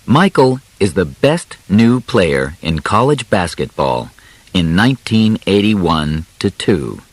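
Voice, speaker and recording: A man with a low voice reads an audiobook in simple language. His delivery is slow and deliberate, phrase by phrase, with very animated, swooping intonation. The recording is dry and close, with no room sound. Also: no echo, low-quality recording. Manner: deliberate, clearly separated short phrases. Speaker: audiobook narrator. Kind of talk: scripted storybook narration in simple graded language.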